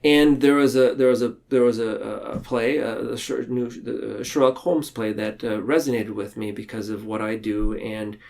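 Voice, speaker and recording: Guy on a podcast, mid-pitched voice, earnest, kinda halting, thinking out loud. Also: fairly even intonation, creaky. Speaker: podcast guest. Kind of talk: casual reflective conversation.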